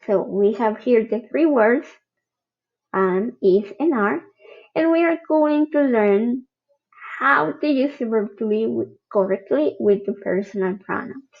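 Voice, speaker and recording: Slow, patient woman teaching grammar. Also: on the high side, gravelly, slightly rough, phone-quality sound. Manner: patient, spells out each example. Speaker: English teacher. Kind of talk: slow recorded grammar lesson.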